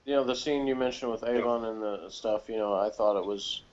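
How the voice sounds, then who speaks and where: A man's voice: lowish voice, fairly quick, darkish tone. A man chatting as a review panelist in an informal, rambling way with plenty of asides. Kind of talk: casual review chat. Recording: dull, noisy online call audio.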